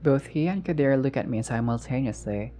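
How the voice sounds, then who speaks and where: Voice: medium pitch, animated, a little fast, warm tone. A game streamer narrates a visual novel in a let's play, with faint music underneath. The voice moves between reading the text and blunt, sweary asides. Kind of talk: let's play with read-aloud dialogue and casual reactions.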